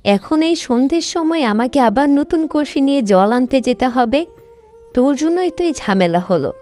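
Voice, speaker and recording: A woman voicing a fretful, sharp-tongued village mother in a cartoon, complaining emphatically. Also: high voice, animated, a little fast, soft background music. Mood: angry scolding. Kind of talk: cartoon voice acting.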